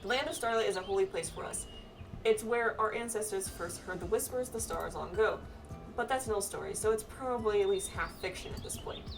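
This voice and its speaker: A woman voicing a serious, dutiful young pilgrim from a fantasy game, in an earnest, measured manner. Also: mid-range voice, vocal fry, recorded from a distance, music in the background, lightly acted. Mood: matter-of-fact.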